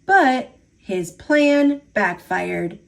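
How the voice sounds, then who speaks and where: A woman reading a children's picture book aloud in an expressive storytelling style. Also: fairly high pitch, extremely slow, vocal fry. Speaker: storybook reader.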